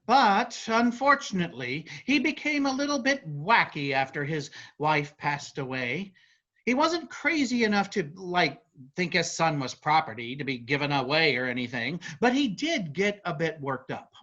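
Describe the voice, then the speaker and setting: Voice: medium pitch, sing-song, moderate pace, fairly bright tone, highs cut off. A performer playing a chatty narrator telling a folk tale in a staged reading, with conversational asides. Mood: storytelling. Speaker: narrator of a play. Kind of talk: theatrical storytelling in a staged reading.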